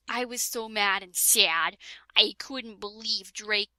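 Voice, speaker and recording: Woman reading a parody story aloud: bright, slow, giggly, dry close sound. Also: medium pitch, dry recording. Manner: giggly, keeps breaking into laughter and sarcastic asides. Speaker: reader of a parody fan fiction. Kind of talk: comedic dramatic reading with a friend.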